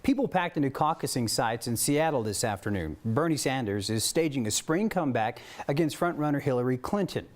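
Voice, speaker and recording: A man's voice: medium pitch, expressive, brisk, few pauses. A man with an American accent anchoring a TV newscast, reading scripted stories and chatting lightly between them. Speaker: TV news anchor.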